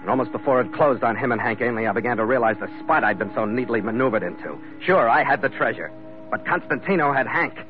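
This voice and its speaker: A man's voice: medium pitch, animated, quick pace, narrow, tinny audio, close to an everyday voice. An actor playing a tough, lovestruck sea captain who tells his own adventure tersely in 1940s radio drama style. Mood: brooding narration. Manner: hard-boiled, terse. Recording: thin vintage radio recording with music.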